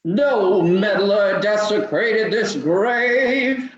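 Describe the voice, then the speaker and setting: Woman as ghostly old governor, solemn, archaic declamation, slow, radio play, echoey muffled sound. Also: medium pitch. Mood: solemn, ominous. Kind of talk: old-time radio drama reading.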